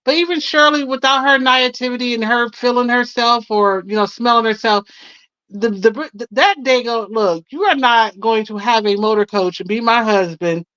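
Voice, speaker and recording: A woman's voice: fairly high pitch, moderate pace, fairly bright tone, no room sound. An American woman in a casual debate, speaking colloquially and insistently as she restates her point. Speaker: panelist on a live stream. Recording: dull lo-fi stream audio.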